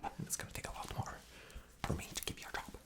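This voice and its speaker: A man playing an arrogant, rude boss in a whispered ASMR interview roleplay, breathy, condescending and dismissive. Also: fast, a bit bright, lightly acted. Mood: mocking, dismissive.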